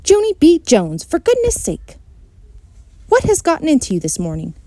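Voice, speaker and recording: A woman's voice: sits high, huge pitch swings, moderate pace, mellow, no echo, pitched above the performer's own voice. Woman as an exasperated but kindly storybook mother.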